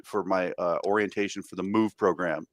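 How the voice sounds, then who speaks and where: A man with a fairly low voice talks casually on a podcast, stumbling and repeating words as he goes. The sound is dry and close. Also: fairly even intonation, dry recording. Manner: halting, repeats and restarts words. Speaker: podcast co-host. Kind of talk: casual chat.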